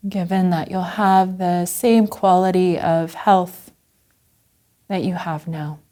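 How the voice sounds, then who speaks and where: Female meditation teacher. Lowish pitch, very mellow tone, gentle and unhurried guided delivery. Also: fairly even intonation. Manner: gentle, unhurried, with long pauses. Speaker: Zen Buddhist teacher guiding a meditation.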